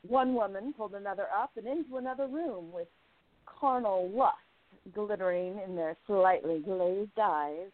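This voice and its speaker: A woman's voice: mid-range voice, moderate pace, a little hiss or hum. A woman narrating and playing a relishing, gossipy society columnist in an amateur radio play. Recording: phone-like narrow sound.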